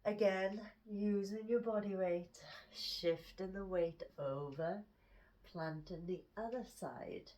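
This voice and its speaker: A woman teaching a guided yoga class, speaking in calm, gentle cues that sometimes drop to a whisper, her nose stuffy from hay fever. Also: medium pitch, takes it slowly, recorded from a distance. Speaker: yoga instructor.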